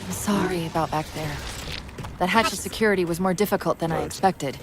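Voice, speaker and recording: A woman playing a quick-witted, emotional AI companion in a video game cutscene. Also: lowish voice, over music, natural voice. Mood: remorseful.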